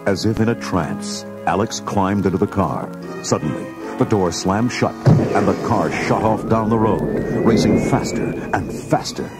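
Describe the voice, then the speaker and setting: A man narrating a scripted children's read-along story in a dramatic, clearly phrased way. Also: on the low side, unhurried, loud music behind the voice.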